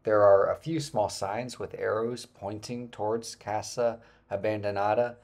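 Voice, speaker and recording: A man in his forties narrating a travel vlog about a hike, relaxed and explanatory, with frequent filler words. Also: fairly low pitch, a little slow. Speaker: travel vlogger. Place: indoor room, then voice-over on hike footage.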